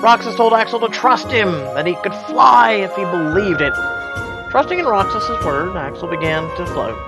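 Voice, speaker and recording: A man's voice: high-pitched, expressive, normal speed. A man reading a scripted story recap as a narrator. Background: music.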